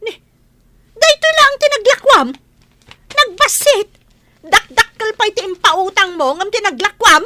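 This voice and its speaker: A woman with an Ilocano accent playing a stingy, sharp-tongued village wife in a radio comedy drama, scolding and exclaiming. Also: very high-pitched, expressive, measured pace, pauses often, heavily acted.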